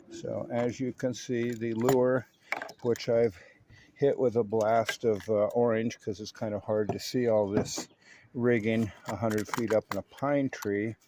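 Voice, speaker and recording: A man's voice: on the low side, fairly even intonation, takes it slowly, noisy background. A man narrating his own hobby video in a casual, folksy and self-assured way, with slangy turns of phrase.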